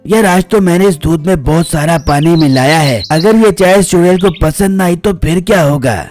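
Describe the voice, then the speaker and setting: Guy doing a sneaky braggy milk seller for a kids cartoon, highish wheedling voice. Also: moderate pace, a little mellow, lightly acted. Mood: nervous excuses. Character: scheming, boastful male village milk seller. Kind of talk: cartoon fairy-tale voice acting.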